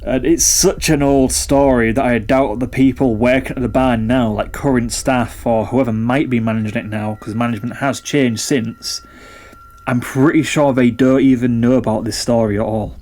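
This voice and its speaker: A man's voice: mid-range voice, steady tone, normal speed, darkish tone. A young adult man recounts a personal ghost story in a conversational, reflective and slightly rambling way. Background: background music.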